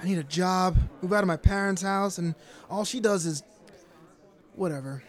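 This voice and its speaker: A young man with a fairly high voice, acted for an audio drama. He is weary and hesitant, speaking naturally like someone worn down and apologetic. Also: normal speed, natural voice. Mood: subdued, weary. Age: young adult.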